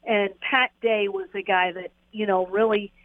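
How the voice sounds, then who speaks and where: A woman's voice: mid-range voice, steady tone, unhurried, no room sound. Woman, former jockey, rambling and chuckling, tinny phone line, dry sound. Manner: rambling, laughs often, many fillers. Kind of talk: casual storytelling interview.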